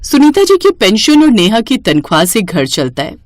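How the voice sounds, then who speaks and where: A woman's voice: mid-range voice, wildly swooping pitch, moderate pace. A woman storyteller giving scripted narration of a moral tale.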